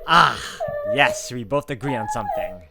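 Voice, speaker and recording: A man's voice: a little high, huge pitch swings, moderate pace, vocal fry, heavy background noise. A man playing and reading a horror visual novel aloud, mixing dramatic narration with casual asides as a let's player. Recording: loud music behind the voice.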